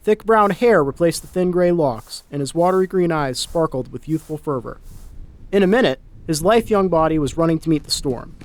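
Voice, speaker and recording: A man's voice: fairly high pitch, animated, moderate pace. A man narrating a dramatic short story from a script.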